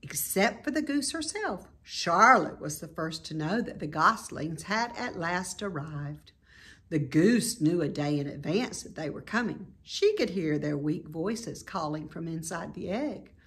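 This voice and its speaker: A woman reading a children's novel aloud in an expressive, deliberate way. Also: fairly low pitch. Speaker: storybook reader. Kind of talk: scripted narration of a children's novel.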